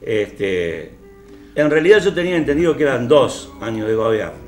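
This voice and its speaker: A man in his sixties with an Argentine accent discussing politics off the cuff as a panel host, halting and reflective with frequent pauses. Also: medium pitch, sing-song. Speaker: panel host on a regional TV talk show. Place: small TV studio at a panel table. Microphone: table microphone. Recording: roomy echo, faint music behind.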